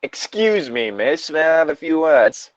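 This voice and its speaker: A man's voice: on the high side, big pitch swings, a little slow, no room sound, muffled recording, pitched above the performer's own voice. A man voicing a sly older wizard villain who poses as a polite reporter, in a put-on English accent, for a comic story reading.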